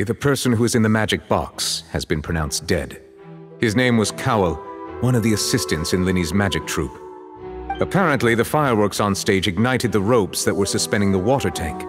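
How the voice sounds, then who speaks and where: Game official: lowish voice with wide inflection, formal, composed and commanding, music clearly behind. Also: male voice, lively intonation. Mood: calm, grave. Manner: formal, measured, authoritative. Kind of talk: dramatic video game acting.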